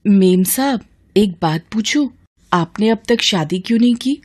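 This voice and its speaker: A woman's voice: mid-range voice, expressive, a little slow, darkish tone. A woman voicing a humble, anxious poor mother in a cartoon story, speaking in a hesitant, pleading, deferential way. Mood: respectful. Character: humble, anxious, grateful poor widowed mother seeking work. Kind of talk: Hindi cartoon story dialogue.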